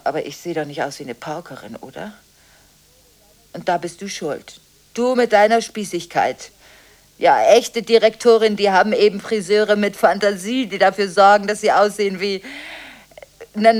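An actress playing a volatile, contradictory school headmistress in a radio drama, talking restlessly on the phone, breaking off and repeating herself. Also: female voice, medium pitch, natural voice. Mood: chatty, indignant.

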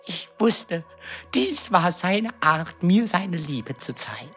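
Radio drama creature role: cheeky, servile little demon, lowish pitch with very animated swoops, self-important courtly phrasing. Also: female voice, takes it slowly, faint music behind, heavily acted. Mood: fawning, nostalgic. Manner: pompous, flowery, servile courtly address. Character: small fat warty green demon servant, fawning, cheeky, self-important.

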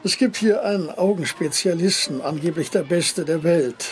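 Man acting courteous older emigrant gentleman, high-pitched voice. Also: moderate pace, a little hiss or hum, close to an everyday voice. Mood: grave, hopeful. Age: 60s. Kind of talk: radio drama acting.

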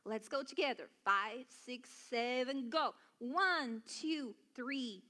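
A woman's voice: high-pitched, slow pace, pushed and bright. A woman from Bulgaria leading a children's church lesson, encouraging and giving simple step-by-step instructions. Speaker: children's worship song and dance leader.

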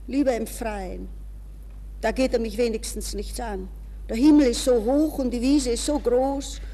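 A woman's voice: high-pitched, warm tone, big-room sound, slight background noise. An actress playing a desperate, dreamy young woman from a good Viennese family in a theatrical interior monologue for a German radio play. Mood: weary dread.

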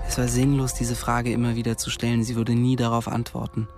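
A man's voice: medium pitch, monotone, brisk, a little mellow, faint music behind, lightly acted. An actor playing an insistent, calculating young man who questions a woman and narrates in a radio drama. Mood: brooding, calculating.

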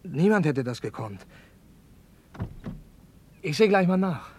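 A troubled, conscientious passenger in a radio crime drama. His fairly high voice sounds hesitant and worried, over faint noise and rumble. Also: sing-song, takes it slowly. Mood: shaken. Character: anxious, conscientious male passenger and subordinate, easily cowed.

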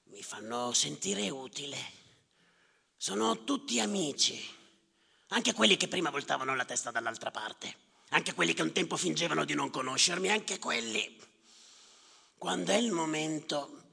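An actor delivering a theatrical monologue as a haunted, embittered official, in terse, repetitive, incantatory phrases with a gravelly voice. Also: male voice, fairly high pitch, animated, a little slow, pauses often, bright, forceful tone, exaggerated voice. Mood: bitter, sardonic. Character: haunted, embittered male official narrating drownings at sea. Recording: reverberant theatre hall with live music.

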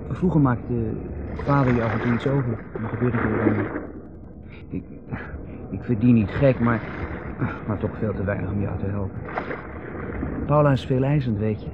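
An actor plays a placating younger husband with a soothing, teasing and sometimes hesitant manner. His voice is medium in pitch and sits a little away from the mic in a dull 1970s radio recording. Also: normal speed, long pauses, far from the mic, heavy background noise, close to an everyday voice. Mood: awkward, hesitant. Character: placating, wry husband, timid younger brother. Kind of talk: 1970s radio drama acting.

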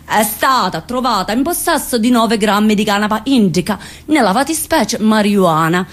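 A man's voice: high voice, expressive, moderate pace, a bit bright. Woman acting a petty, officious policeman. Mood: stern, officious. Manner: officious, reeling off figures. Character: petty, unfriendly male Carabinieri officer, bureaucratic and scornful.

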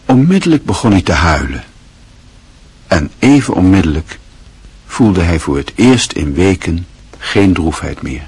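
Guy narrating a radio play, deep husky voice, calm and measured. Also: no room sound.

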